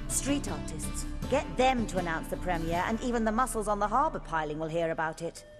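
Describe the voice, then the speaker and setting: A woman voicing a brisk, wry theatre woman in a video game, dry and refined. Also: on the low side, animated, natural voice. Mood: wry, businesslike. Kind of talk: video game character acting. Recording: music behind.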